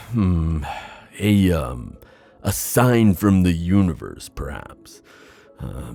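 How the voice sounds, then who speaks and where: A man playing a wistful, theatrical sea god in an ASMR fantasy roleplay, musing with hesitant pauses and drawn-out phrasing. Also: sits low, vocal fry, darkish tone, full character voice.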